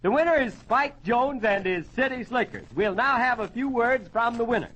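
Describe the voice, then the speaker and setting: A man's voice: extremely high pitch, lively intonation, moderate pace, lo-fi sound. A man hosting a 1940s radio variety show, announcing and reading ads with folksy, joking showman patter on an old, noisy recording.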